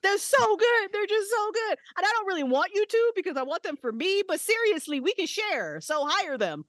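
A woman's voice: extremely high pitch, normal speed, dry recording, clean studio sound. A woman in her forties, a podcast co-creator, chatting casually as a guest, swearing freely and laughing often. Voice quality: profane, animated, laughing often. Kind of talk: casual chat.

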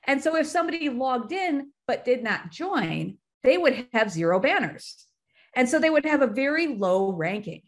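A woman with a fairly high voice speaks as a podcast guest. She explains things in a methodical, detailed way, going step by step in an interview style. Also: sing-song, normal speed.

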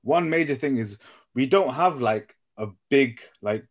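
A young man from Nepal in a casual group discussion, speaking haltingly and often repeating his phrases as he works out his thought. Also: mid-range voice, animated. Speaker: podcast panelist.

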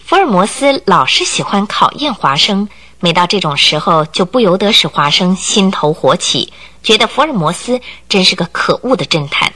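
A woman's voice: mid-range voice, sing-song, normal speed, few pauses, pushed and bright. A woman giving scripted narration for a radio drama in a dramatic storytelling manner. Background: music.

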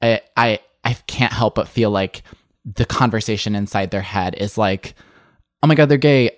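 A young man speaking as a guest in a candid interview, his voice fairly low but prone to sudden upward leaps. He talks in an animated, rambling way, full of filler words. The sound is close and dry, with no room echo. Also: normal speed. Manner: animated, many "like" fillers, swooping pitch.

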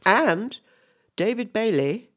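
A woman's voice: mid-range voice, animated, slow pace. An older woman from England chatting affectionately with her son, calling him darling and telling stories about her past. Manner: affectionate, calls others darling, storytelling. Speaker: the other speaker's mother. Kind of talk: casual family conversation.

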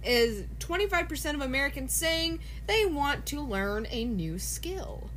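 Woman, podcast co-host, bright tone, higher pitch, playful, steers the chat. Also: big pitch swings, unhurried, slight background noise. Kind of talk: casual chat.

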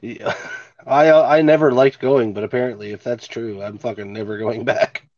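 A man's voice: medium pitch, fairly even intonation, normal speed, a little mellow, dry recording, muffled recording. A man hosting a casual podcast from home with a stuffy nose, laid-back and swearing casually. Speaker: podcast host. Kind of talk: casual chat. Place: home, recording remotely. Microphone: remote home mic.

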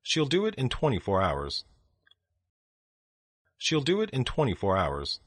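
A man reading short phrases for language learners, saying each one twice in a slow, deliberate way. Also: medium pitch, wildly swooping pitch, fairly bright tone, breathy edge. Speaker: language lesson speaker.

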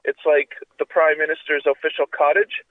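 Man, stuffed-up and coughing, rambling, profane, slightly high voice, tinny phone-like sound. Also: completely flat delivery, normal speed, no echo. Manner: rambling, profane, full of fillers. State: congested with a cough and sore throat. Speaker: podcast co-host. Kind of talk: casual chat.

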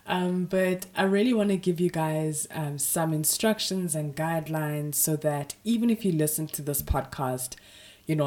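A woman hosting her own podcast, talking casually with a slightly raspy voice from a sore throat, filling her speech with "you know" and "um". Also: low voice, darkish tone. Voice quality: husky, raspy. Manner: chatty, frequent "you know" fillers. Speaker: podcast host. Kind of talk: casual solo podcast talk.

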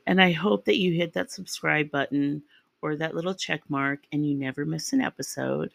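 Raspy-voiced woman podcast host. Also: low voice, fairly even intonation, moderate pace, no echo. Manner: rambling and chatty, with ums and restarts. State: raspy from a sinus infection. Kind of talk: casual true-crime podcast narration.

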